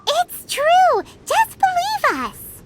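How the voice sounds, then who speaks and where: A woman voicing a tiny, excitable fairy companion in a video game, with a squeaky childlike voice and bubbly, blunt manner. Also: extremely slow, full character voice. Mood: cheerful, urging. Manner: bubbly, exclaiming.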